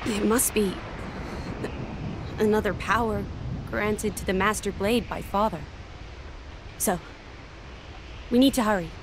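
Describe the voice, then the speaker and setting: An actress playing an earnest, gentle young heroine in a video game cutscene. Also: female voice, medium pitch, steady tone, lightly acted. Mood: earnest, puzzled. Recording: clear rushing ambient noise behind.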